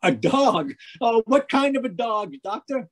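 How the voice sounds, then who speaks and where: A man playing a cheery, folksy announcer and sponsor pitchman in the style of 1940s radio. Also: big pitch swings, unhurried, heavily acted. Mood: curious, friendly. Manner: folksy, hearty salesmanship. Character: cheery, folksy male radio announcer, eager sidekick listener. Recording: live video-call performance.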